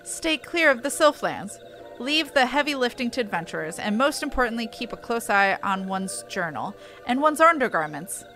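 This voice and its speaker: A woman's voice: a little high, sing-song, moderate pace, sharp tone, faint music behind. A woman voicing a bookish, self-important young man with florid, formal phrasing in a fantasy game. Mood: earnest, pleased.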